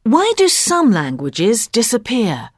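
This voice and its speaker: Curious woman with lively intonation. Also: on the high side, slow, husky, no echo, studio quality. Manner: curious, questioning. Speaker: question asker in textbook audio. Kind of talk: scripted dialogue.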